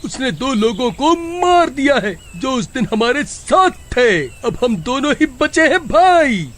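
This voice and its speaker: A man as a high-pitched, panicky villager. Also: very animated, measured pace, a bit bright, full character voice. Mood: dread. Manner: pleading, wailing, exclamatory. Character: panicky, cowardly village man in fear of a witch. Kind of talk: Hindi horror cartoon voice acting. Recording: music behind the voice.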